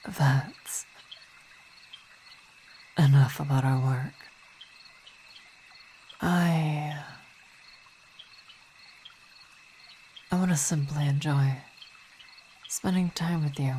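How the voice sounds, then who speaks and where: A dark, mellow-voiced vampire wife played by a woman in an intimate ASMR roleplay, weary and imperious but tender. She speaks in formal, hesitant phrases at an unhurried pace, with night insects chirping behind her. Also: sits low, slight background noise. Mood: wistful, affectionate. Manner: measured, hesitant, formal wording.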